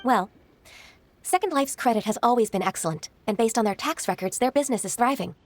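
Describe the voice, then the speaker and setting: An actress playing a gentle, composed and shrewd young secretary in a video game, speaking politely and thoughtfully. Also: female voice, on the high side, animated, fairly quick, no room sound. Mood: coolly polite.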